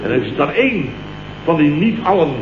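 Indignant, sarcastic male boss, blustering radio comedy, higher-pitched, noisy muffled recording. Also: sing-song, a little fast, roomy sound. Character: indignant, sarcastic male office boss telling his night's ordeal. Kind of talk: 1970s Dutch radio comedy acting.

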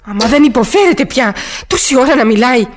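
A woman's voice: on the high side, lively intonation, background noise, natural voice. An actress playing a fretful, impatient woman in a radio play, complaining and muttering to herself in exasperation. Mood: exasperated. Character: fretful, impatient woman alone at home, phoning. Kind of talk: Greek radio play acting.

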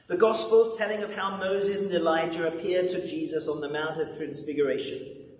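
An older man in his sixties or above preaching a sermon with emphatic delivery, dramatic pauses and rhetorical repetition. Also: on the high side. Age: 60s or older. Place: large church interior at a lectern. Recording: echoey church, distant mic, background noise.

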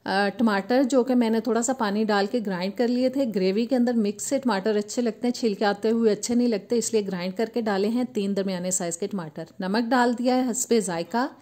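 Woman with a mellow, slightly high voice, steady cooking voice-over. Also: fairly quick, few pauses. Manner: steady step-by-step instructional tone. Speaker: cooking channel host. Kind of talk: recipe voice-over narration.

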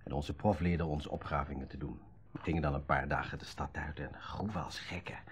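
Deep, husky, thoughtful young man. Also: steady tone, brisk, full character voice. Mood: reflective. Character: thoughtful, modest young man, quietly philosophical. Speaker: actor in a radio drama. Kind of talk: Dutch radio play acting, naturalistic dialogue. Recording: dull old radio recording.

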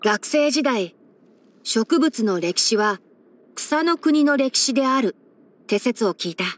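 A woman's voice: fairly high pitch, expressive, takes it slowly. A woman voicing a young male fox-eared ranger in a video game, scholarly and sensible, speaking in a composed, articulate, mildly wry way. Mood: reflective. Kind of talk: scripted video game character voice-over.

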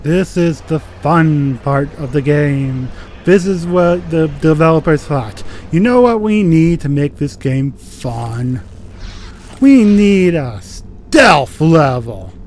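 A man's voice: fairly high pitch, expressive, takes it slowly, darkish tone, soft background music. A man giving casual, sarcastic commentary as he records himself playing a video game. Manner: wry, sarcastic. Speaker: gamer recording a let's play.

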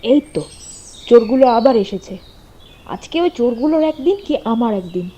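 A woman's voice: on the high side, animated, fairly quick, warm tone, slightly rough. A woman playing a protective, fiery homemaker in a doll-story drama, speaking theatrically and emphatically. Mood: determined.

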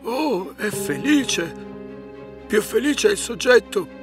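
A man's voice: sits high, very animated, slow, music in the background, exaggerated voice. A man playing a smug, scheming amateur scientist in a fairy-tale cartoon, affected and theatrical. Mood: smug, gloating. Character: smug scheming amateur scientist who cages magical beings.

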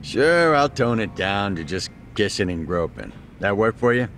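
Crude, swingy-voiced lecherous thug. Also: male voice, on the low side, big pitch swings, normal speed, fairly bright tone, slight background noise. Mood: cheeky. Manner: slangy, crass. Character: crude, lecherous low-level thug, cowardly underneath. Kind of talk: video game voice acting. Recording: faint music behind.

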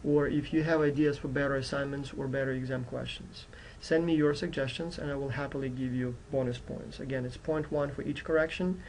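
A man's voice: mid-range voice, steady tone, fairly quick, a little mellow, slight background noise, low-quality recording. A man who is a non-native English speaker, giving an informal, explanatory course introduction as a university instructor. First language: not English, which is his fourth language. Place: indoors at a computer.

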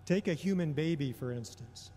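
A middle-aged man delivering a prepared homily in a measured, deliberate way, pausing often between phrases. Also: fairly high pitch, lively intonation, dark tone. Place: large indoor church or hall. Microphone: gooseneck microphone on a lectern. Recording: long reverberation of a large hall.

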